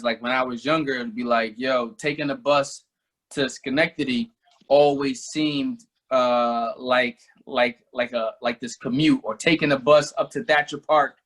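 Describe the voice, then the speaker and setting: A man's voice: mid-range voice, a bit bright. A man from Albany interviewing a guest, speaking loosely with many fillers. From Albany, New York. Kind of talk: casual conversation.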